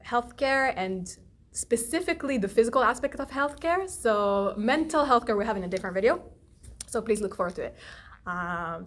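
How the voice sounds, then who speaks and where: A woman's voice: medium pitch, a bit bright. A woman speaking as an informal video host, explaining things politely and a little hesitantly. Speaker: host interviewer. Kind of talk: informal video hosting and interview.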